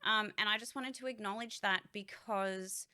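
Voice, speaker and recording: A woman's voice: medium pitch, steady tone, moderate pace, bright, forceful tone, clear, resonant tone. A woman voice actor and streamer giving a casual vlog update, candid and rambling, swearing freely.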